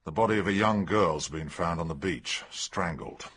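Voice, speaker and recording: An actor playing a gruff, matter-of-fact English police detective with a husky British voice, in a radio drama. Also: male voice, low-pitched, moderate pace, fairly bright tone, slight background noise. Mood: urgent, matter-of-fact.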